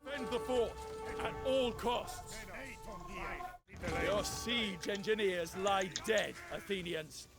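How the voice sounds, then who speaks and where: A man's voice: high-pitched, takes it slowly, over music. A man playing a bold, proud Spartan general in a game, giving rousing, commanding speeches and orders.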